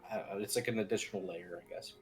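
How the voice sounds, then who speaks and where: A man's voice: fairly low pitch, steady tone, racing, soft background music. A man giving an informal technical tutorial as team lead, explaining things in a loose, rambling way. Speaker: software team lead, instructor.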